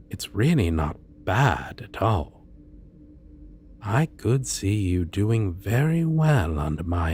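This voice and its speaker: A man playing a suave, courteous yet menacing supervillain interviewer in a soft-spoken, deliberate ASMR roleplay. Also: deep voice, slight background noise, exaggerated voice. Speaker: voice actor.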